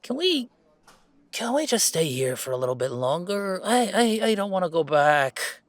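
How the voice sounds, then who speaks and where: A man playing an earnest, playful young werewolf gamer in an intimate, conversational roleplay audio, speaking in a halting, emphatic way. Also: high voice, no echo. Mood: weary, pleading. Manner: halting, emphatic, expressive, with sighs and chuckles.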